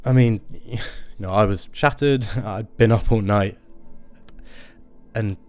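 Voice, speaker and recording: An actor playing a weary, anxious new father in an audio drama, speaking haltingly with nervous laughs and throat clearing. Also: fairly low pitch, darkish tone, soft background music. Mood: exhausted, regretful. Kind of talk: naturalistic audio drama acting.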